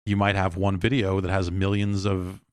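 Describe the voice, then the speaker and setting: An American man hosting a podcast alone in a casual, rambling, halting way, thinking aloud and speaking bluntly. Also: low voice, completely flat delivery, dry recording, clean studio sound. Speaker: podcast host. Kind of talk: casual solo podcast talk, reading listener emails.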